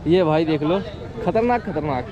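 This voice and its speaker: A man's voice: on the high side, expressive, normal speed, highs cut off, crowd noise. A man walks through an outdoor street market, filming a casual vlog, urging viewers along and asking sellers for prices. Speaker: vlogger touring a street market. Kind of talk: casual walking vlog commentary. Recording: loud crowded street market.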